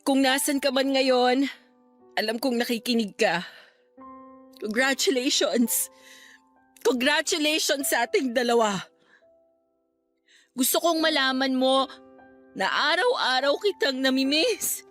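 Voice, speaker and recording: A woman's voice: high voice, measured pace, pauses often, a bit bright, faint music behind. A young Filipino woman acting in a radio drama as a devoted graduate grieving her late best friend, earnest and sentimental. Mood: tearful devotion. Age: young adult.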